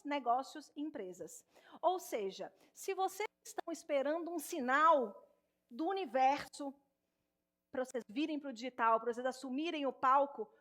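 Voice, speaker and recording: A woman in her thirties with a Minas Gerais accent, a speech therapist giving an animated stage talk straight to the audience in an auditorium. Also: sits high. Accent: Minas Gerais Portuguese. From Minas Gerais, Brazil. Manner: warm, animated, addresses audience directly.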